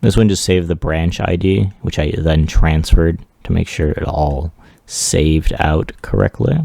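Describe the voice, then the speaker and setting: A man giving a casual, unscripted software walkthrough as a 3D artist, rambling along in a self-deprecating way. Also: sits low, steady tone, measured pace, creaky, no room sound. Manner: rambling, self-deprecating, chuckles at own remarks. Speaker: 3D artist presenting a demo.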